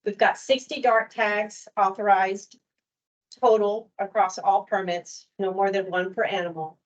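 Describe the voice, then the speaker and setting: Woman walking through permit rules, steady middle voice, slightly muffled recording. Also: medium pitch, measured pace. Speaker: permitting official presenting at a workshop. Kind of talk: prepared presentation.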